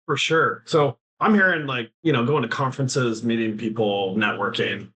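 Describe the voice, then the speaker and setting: A man's voice: mid-range voice, normal speed, fairly bright tone. A man hosting a podcast interview, summing up the guest's points in a loose, rambling way with many fillers. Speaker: podcast host. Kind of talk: interview questions, casual.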